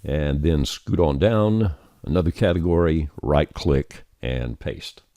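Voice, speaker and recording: A man narrating a software tutorial step by step in a folksy, conversational way. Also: a bass voice, slow pace, no room sound, clean studio sound. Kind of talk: scripted tutorial narration, explaining steps on screen.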